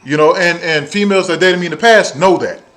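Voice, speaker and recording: A man in his thirties speaks in a distinctly high voice with a Southern American accent. He rants casually like a video blogger, swearing freely. His delivery is blunt and emphatic, and he hammers phrases by repeating them. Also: brisk, fairly bright tone. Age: 30s. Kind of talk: casual storytelling rant to viewers.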